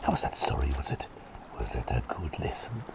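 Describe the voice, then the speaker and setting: A man voicing a kindly, silly talking bird doctor in a whispered ASMR roleplay, speaking hesitantly and politely and softly repeating his phrases. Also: wildly swooping pitch, fairly quick, exaggerated voice. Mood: polite, caring. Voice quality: breathy whisper with creaky low voiced moments.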